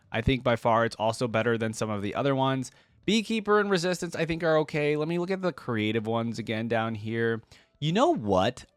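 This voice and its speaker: Male gaming reaction host, mid-pitched and very expressive, informal commentary, dry close sound. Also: dry recording. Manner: informal, thinking out loud, addresses viewers as guys. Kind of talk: casual reaction commentary, some reading aloud.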